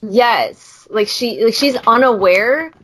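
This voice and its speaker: A woman's voice: a little high, takes it slowly, fairly bright tone, husky, poor audio. A woman co-hosting a podcast, talking casually as she explains her view. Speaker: podcast co-host. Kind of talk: casual discussion.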